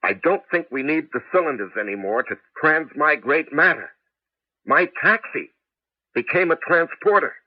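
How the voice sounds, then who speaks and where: A man acting in a 1980s radio drama as an excitable taxi driver and amateur inventor, with a halting, emphatic delivery. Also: on the high side, slightly rough, dry recording. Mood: excited, urgent. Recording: dull, noisy old radio broadcast.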